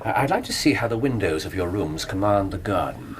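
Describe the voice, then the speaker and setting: An actor playing a shrewd, courteous gentleman detective with an English accent in a radio drama, precise and theatrical. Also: low voice, sing-song, a little fast, a little hiss or hum. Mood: probing, courteous.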